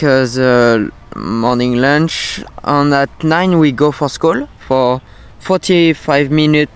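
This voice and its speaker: A young man from France with a French accent, answering an interviewer in halting, simple English. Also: fairly high pitch, smooth. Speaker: interview guest, volunteer.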